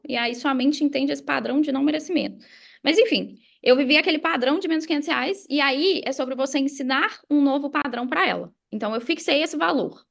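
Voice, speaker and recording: A woman's voice: high voice, vocal fry. A Brazilian woman speaking as a podcast guest, explaining mindset in a casual, motivational and emphatic way. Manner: emphatic, repeats key phrases, uses examples and acted quotes. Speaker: podcast guest, affiliate marketer and mindset coach.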